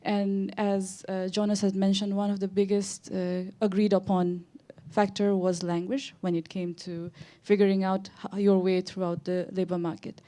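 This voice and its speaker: A woman's voice: medium pitch, flat delivery, moderate pace, few pauses, warm tone. A young woman from Nepal with a Nepali accent, making an informal comment from the audience at a conference. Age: young adult.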